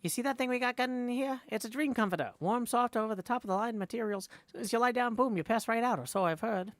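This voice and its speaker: Man doing a high, chummy, eager guard. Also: fast, fairly bright tone, no room sound. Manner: breezy, salesman-like.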